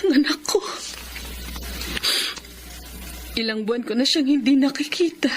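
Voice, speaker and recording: A woman's voice: high-pitched, quick pace, background noise, close to an everyday voice. A woman playing a long-suffering, battered wife and mother in a Filipino radio drama, plaintive, pleading and emotional. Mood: weak, sad. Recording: music bed behind the voice.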